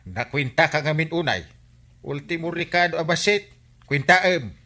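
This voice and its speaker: Bright, highish Ilocano village captain. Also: male voice, expressive, normal speed. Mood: teasing, exasperated. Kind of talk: Ilocano radio comedy-drama acting.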